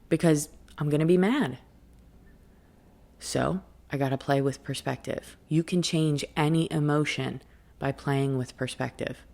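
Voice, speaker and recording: Woman, low-pitched, reflective, motivational storytelling, conversational, candid, emphatic phrasing. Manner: asks rhetorical questions and answers them. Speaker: podcast host and life coach.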